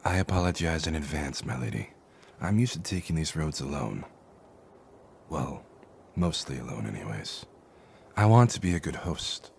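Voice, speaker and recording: Man acting as a very deep-voiced, humble cart driver. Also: lively intonation, moderate pace, gravelly, long pauses, a little mellow, slight background noise, full character voice. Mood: humble, courteous. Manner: formal, deferential, reflective. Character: weathered male cart driver, humble, courteous, philosophical. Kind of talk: fantasy audio drama acting.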